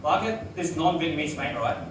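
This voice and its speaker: A young man doing stand-up in a small bar, his voice pitched fairly high and caught from the back of the room. The sound rings around a hard, echoey space, with loud crowd noise and laughter filling the gaps. He sets up jokes deadpan and laughs at his own punchlines. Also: a little fast, far from the mic. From Australia. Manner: deadpan one-liners with laughing asides. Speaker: stand-up comedian. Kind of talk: stand-up comedy set. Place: small restaurant bar, live audience. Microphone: handheld mic, filmed from far back.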